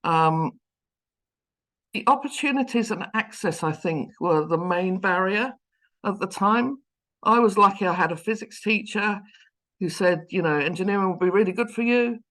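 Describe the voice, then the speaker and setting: A woman's voice: medium pitch, normal speed, a bit bright, rough recording. A woman in her fifties with a London accent, giving an online seminar talk over a video call in a chatty, self-deprecating way. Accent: London English. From London, England. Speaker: guest lecturer, professor.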